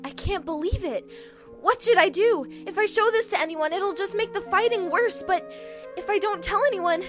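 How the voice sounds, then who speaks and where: A young woman playing a perky, naive, earnest farm girl in a comedy radio play, chirpy and expressive. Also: very high voice, normal speed, over music. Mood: worried.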